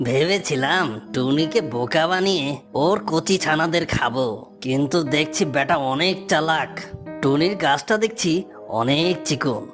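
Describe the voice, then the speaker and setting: A woman's voice: deep voice, moderate pace, lightly acted. A woman voicing a sly, greedy, bullying fox in a children's fairy-tale cartoon. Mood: greedy, scheming. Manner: scheming, threatening, gloating. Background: music.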